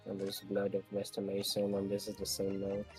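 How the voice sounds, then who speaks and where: A man's voice: lowish voice, completely flat delivery, fairly quick, darkish tone, soft background music. Hesitant man thinking aloud over a game. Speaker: player in a fan dub livestream. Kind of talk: casual chat while puzzling through a game.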